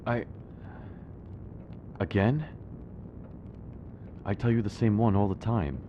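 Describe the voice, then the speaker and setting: A man's voice: lowish voice, takes it slowly, dark tone, lo-fi sound, a little hiss or hum. A man voicing a timid, weary, reluctant talking storybook in an analog horror cartoon, hesitant and faltering as he grumbles. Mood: reluctant, resigned.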